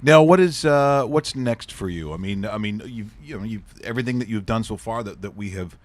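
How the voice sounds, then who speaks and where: A man's voice: fairly low pitch, a little fast. A man hosting a talk show interview, chatting casually with many stumbles and restarts.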